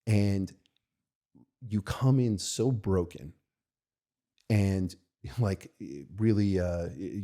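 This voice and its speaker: A low-voiced American man with a mellow, husky tone. He tells personal stories in an open, conversational way. He restarts often and tags his sentences with "right?". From United States. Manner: thoughtful and halting, many restarts and "right?" tags. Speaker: podcast guest, rabbi. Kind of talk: candid conversational storytelling.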